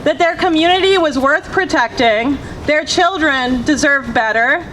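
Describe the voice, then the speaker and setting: A woman organizer from Virginia delivers a passionate protest speech into a microphone outdoors. Her voice is high and driving, and she stacks her phrases into rhythmic lists. Traffic and open-air noise run steadily behind her. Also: unhurried, a bit bright. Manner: impassioned, rhythmic, building lists. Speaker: grassroots organizer. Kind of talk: rally speech. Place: outdoor rally. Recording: noisy outdoor rally.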